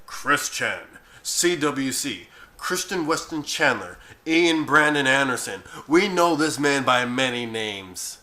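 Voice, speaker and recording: A man's voice: medium pitch, lively intonation, takes it slowly, few pauses, sharp tone. A man giving informal, opinionated commentary as an internet video commentator.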